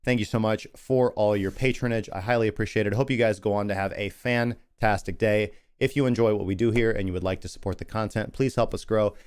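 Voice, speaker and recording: A man's voice: lowish voice, fairly even intonation, fairly quick, hardly any pauses, smooth, dry recording, clean studio sound. A man hosting a crypto livestream, chatty and rambling as he talks through charts and thanks viewers, losing his voice. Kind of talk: casual market analysis and sign-off.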